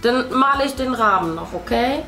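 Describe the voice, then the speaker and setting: A woman's voice: a little high, animated, moderate pace, bright, forceful tone. A woman in her thirties chatting casually to young viewers as the host of a family video, explaining things directly to them. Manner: speaks to children, addressing viewers directly. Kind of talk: casual chat, explaining to viewers while painting. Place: indoor room at a table. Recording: roomy sound, faint music. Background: music.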